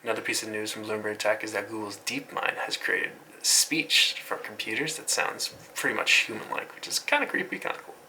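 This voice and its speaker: A man's voice: lowish voice, fairly quick, hardly any pauses, bright, forceful tone, rough recording. A man hosting a casual web news recap in an informal, offhand way, with some light noise in the recording. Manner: informal, offhand, occasionally swearing. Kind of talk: casual internet news recap, loosely scripted commentary.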